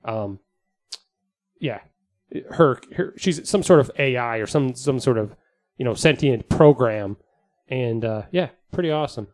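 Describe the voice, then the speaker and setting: A man co-hosting a podcast in casual chat, enthusiastic and sweary, with halting phrasing. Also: mid-range voice, animated, darkish tone. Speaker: podcast host.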